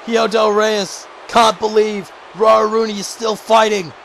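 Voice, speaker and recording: High-pitched excited wrestling commentator, hoarse. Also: male voice, unhurried, slight background noise. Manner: shouting, high-pitched and strained, losing his voice. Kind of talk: excited play-by-play commentary of a video game wrestling match.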